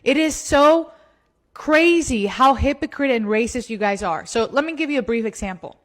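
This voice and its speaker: A woman's voice: fairly high pitch, lively intonation, normal speed, a bit bright. A woman ranting to camera as a political commentator, mocking and sarcastic.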